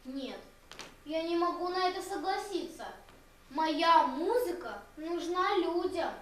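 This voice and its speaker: A principled, soft-spoken poor young musician acted by a woman in a fairy-tale drama. The voice is extremely high and slow, earnest in manner. It rings with strong echo, a little off the mic. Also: expressive, a little hiss or hum, exaggerated voice. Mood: quietly firm. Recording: very echoey, distant, music behind.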